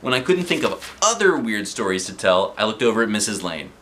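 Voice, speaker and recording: A man narrating a children's storybook aloud in an animated style. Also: fairly low pitch, a little fast, slight background noise. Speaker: storybook reader.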